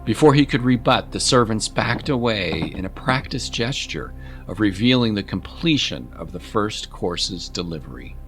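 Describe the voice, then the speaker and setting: A man's voice: fairly low pitch, expressive, moderate pace. A man narrating a scripted story as an audiobook narrator, in a dramatic storytelling style. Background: music.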